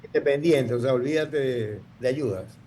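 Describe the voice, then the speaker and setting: A man's voice: medium pitch, moderate pace, mellow, a little hiss or hum. A man, telling his story in an interview, rambling with many restarts. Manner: rambling, full of restarts and fillers. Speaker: guest entrepreneur.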